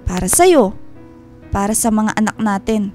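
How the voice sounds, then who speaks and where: An actress playing a tender, wounded wife and mother in a Filipino radio drama, speaking in an earnest, measured way. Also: medium pitch, lively intonation, mellow, soft background music, natural voice. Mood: earnest, loving. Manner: earnest, heartfelt, measured delivery.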